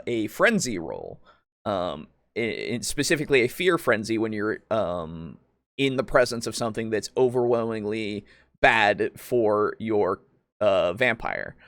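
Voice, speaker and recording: A man co-hosting a podcast, talking casually about tabletop roleplaying in a halting, rambling way with frequent swearing. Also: fairly low pitch, animated, fairly bright tone, no room sound. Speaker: podcast co-host.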